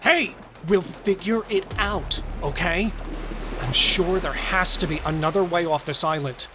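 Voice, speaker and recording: A man's voice: sits high, measured pace, background noise. A man playing a cautious but joking killer and robber who steadies his partner, in horror audio drama dialogue. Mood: reassuring, firm. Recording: music and effects under the voice.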